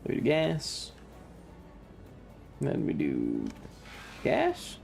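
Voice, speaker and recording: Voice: mid-range voice, wildly swooping pitch, drawn-out words, gravelly, darkish tone, soft background music. A streamer giving casual live commentary on a video game in an irreverent, sweary, rambling way.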